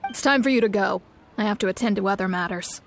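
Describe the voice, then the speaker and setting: A woman's voice: mid-range voice, fast, a little hiss or hum, close to an everyday voice. An actress voicing a firm woman who runs an adventurers' guild and curtly turns a salesperson away. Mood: dismissive. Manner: curt, dismissive. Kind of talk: video game voice acting.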